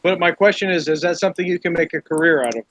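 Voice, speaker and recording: A man's voice: a little high, very fast, background noise. A man in a casual roundtable chat asking curious questions. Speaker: roundtable panelist. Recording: dry, dull lo-fi mic.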